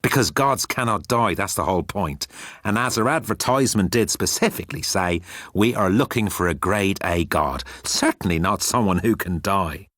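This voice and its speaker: A man voicing a brisk, condescending male recruiter with an officious manner in a comic audiobook. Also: low-pitched, animated, a bit bright, no room sound, clean studio sound. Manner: patronising, officious.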